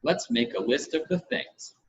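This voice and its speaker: A man's voice: fairly high pitch, lively intonation, unhurried. A man voicing a smart, practical girl, a businesslike first mate in a storybook. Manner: crisp, businesslike. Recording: muffled home recording with hiss.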